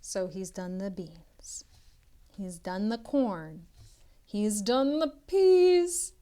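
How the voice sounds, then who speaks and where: A woman's voice: mid-range voice, lively intonation, drawn-out words, warm tone, clear, resonant tone. A woman tutor reading a picture book aloud to children, expressively and instructively, drawing out some words.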